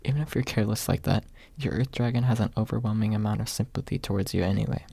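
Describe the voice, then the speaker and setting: A man voicing a composed, formal knight who lectures calmly in a fantasy audiobook. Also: fairly low pitch, fast, vocal fry, breathy edge, dry recording. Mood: lecturing.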